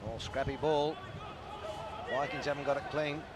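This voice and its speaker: A man's voice: on the high side, steady tone. A man with a New Zealand accent giving upbeat live rugby commentary with plenty of banter. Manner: upbeat, quick play-by-play with banter. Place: outdoor stadium commentary position. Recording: outdoor crowd and traffic noise.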